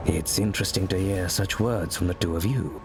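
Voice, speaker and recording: A man playing a grave, wise mentor in a dramatic fantasy video game, speaking in a measured, solemn way. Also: deep voice, gravelly. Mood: solemn counsel. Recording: noisy game ambience behind voice.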